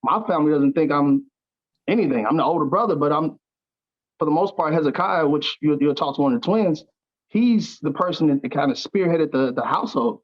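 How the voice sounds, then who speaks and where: A man's voice: fairly high pitch, expressive, brisk, highs cut off. A man speaking as an actor in an interview, earnest and conversational, often repeating words as he searches for the next phrase. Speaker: stage actor interviewed as a guest.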